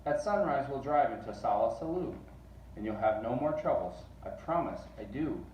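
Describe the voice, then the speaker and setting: A man's voice: medium pitch, lively intonation, normal speed, darkish tone, close to an everyday voice. A man voicing a breezy, bossy, confident chap in a children's storybook. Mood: reassuring. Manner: confident, persuasive, smug. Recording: distant mic, roomy echo, faint noise.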